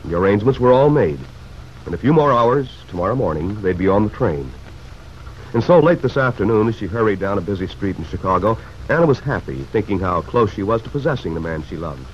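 A man's voice: on the low side, lively intonation, brisk. A man narrating an old radio serial in scripted storytelling style. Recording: dull, noisy old radio recording.

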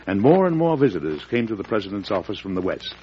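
Casting: burdened, wry American president, low-pitched and deliberate, vintage radio acting, muffled sound. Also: male voice, very animated. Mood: measured storytelling. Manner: measured, weighty, theatrical. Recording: muffled, noisy old radio recording.

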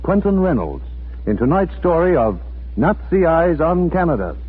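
A man's voice: on the high side, big pitch swings, moderate pace, husky, faint music behind. A man announcing on 1940s radio, reading a formal, solemn scripted introduction. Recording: old 1940s radio broadcast, thin tinny band.

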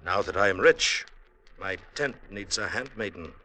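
A man playing a sly, newly rich villager in an old radio drama, speaking in formal, measured phrases. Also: low-pitched, lively intonation, sharp tone, heavily acted. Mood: smooth, scheming. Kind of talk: 1950s radio drama acting.

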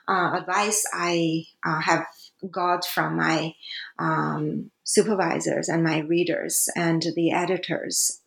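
Non-native woman author, halting and slow, roomy echo. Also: lowish voice. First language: not English. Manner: hesitant, many fillers and restarts. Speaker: author, guest. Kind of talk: academic interview.

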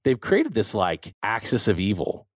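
A man's voice: lowish voice, huge pitch swings, a little slow, no room sound, studio quality. An American man hosting a show with casual sports banter, talking emphatically and repeating his phrases for effect. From Louisiana and Texas, United States. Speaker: talk show host.

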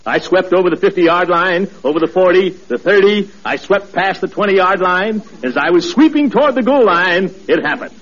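A man's voice: sits high, expressive, moderate pace, few pauses, fairly bright tone. A man playing a pompous, blustering middle-aged neighbor in a 1940s radio comedy. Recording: muffled old radio broadcast.

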